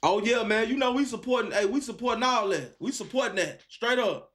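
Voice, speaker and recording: A man's voice: extremely high pitch, a little fast, a bit bright. A man hosting a live call-in stream, chatting casually and encouragingly in slangy talk, repeating phrases for emphasis. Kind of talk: casual chat with a caller.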